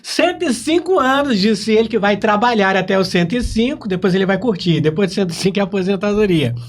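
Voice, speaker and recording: A man's voice: sits high, lively intonation, fast, slight background noise. A man hosting a casual interview, chatting in an informal, playful and teasing way. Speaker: interview host.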